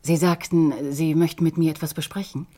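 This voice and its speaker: A courteous, reserved divorcee in a radio crime play. Her voice sits fairly low with a soft, breathy husk. She speaks with careful politeness. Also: fairly even intonation, moderate pace. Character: polite, reserved divorced woman hosting an uneasy visitor. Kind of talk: German radio crime drama acting.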